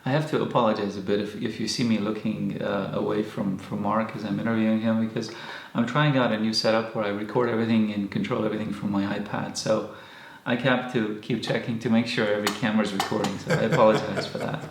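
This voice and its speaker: A man's voice: lowish voice, fairly even intonation, fast, vocal fry, few pauses, reverberant. A man hosting an interview in his studio, speaking plainly and apologetically to his guest. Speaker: interviewer and show host. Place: own recording studio.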